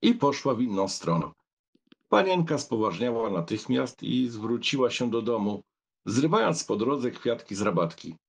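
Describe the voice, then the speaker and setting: Gravelly, husky male audiobook narrator. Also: medium pitch, sing-song, normal speed. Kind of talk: scripted literary narration.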